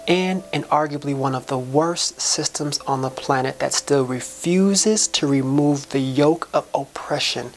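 A woman's voice: extremely low pitch, unhurried, hardly any pauses, a little mellow. A woman delivering an inspirational spoken-word soliloquy in an impassioned, preacher-like way, with folksy, colloquial phrasing.

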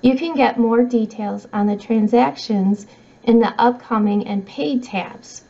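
Slow, mellow woman narrating a tutorial. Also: on the high side, gravelly. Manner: measured, instructional. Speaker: software trainer. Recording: slightly dull, muffled audio.

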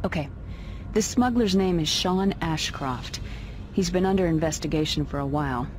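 A woman playing a brisk, authoritative young military officer in a video game cutscene. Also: fairly low pitch, a little mellow, a little hiss or hum, close to an everyday voice. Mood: businesslike. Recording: game music behind. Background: music.

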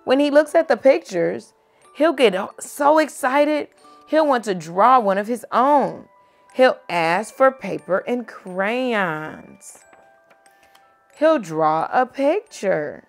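A young woman reading a picture book aloud to a child at home, gentle and expressive. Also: on the high side, slow pace, faint music behind. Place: home bedroom, indoors.

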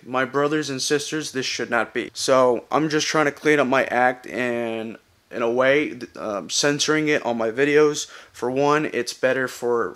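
A young man vlogging from a gym and his bedroom, talking casually to a handheld camera. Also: medium pitch. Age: young adult. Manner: loud, excitable joking at the gym. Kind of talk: casual vlog chat.

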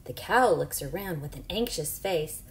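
A woman in her forties reading aloud to young children in an animated, questioning, teacherly way. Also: low-pitched, normal speed, reverberant. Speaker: children's storybook reader. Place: small home room, indoors.

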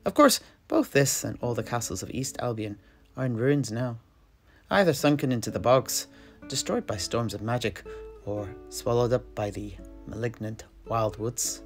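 A man telling a fantasy history in character, conversationally, with a hesitant, measured, theatrical delivery. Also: medium pitch. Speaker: in-character storyteller. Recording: music behind the voice. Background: plucked guitar music.

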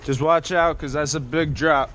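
A man's voice: a little high, moderate pace. New guy narrating his urban exploring on a head cam, swearing and hyped, big echoey space. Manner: excited, slangy, swearing. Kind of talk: casual running commentary while exploring. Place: abandoned church, indoors and outdoors. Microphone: head-mounted action camera. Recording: long reverb in large empty hall, background noise.

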